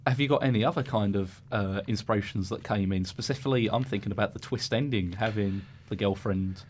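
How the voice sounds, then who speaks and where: A man's voice: on the low side, a little fast, slight background noise. A man interviewing a guest casually on radio.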